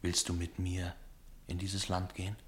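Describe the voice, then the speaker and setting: A low-voiced, brooding homesick soldier. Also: male voice, monotone. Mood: earnest, pleading. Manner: solemn, poetic, measured delivery. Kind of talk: German radio play acting.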